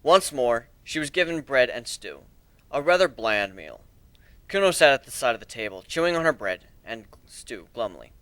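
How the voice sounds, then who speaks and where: A man reading a story aloud as a dramatic narrator, in a measured, deliberate way with expressive emphasis. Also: mid-range voice, no room sound.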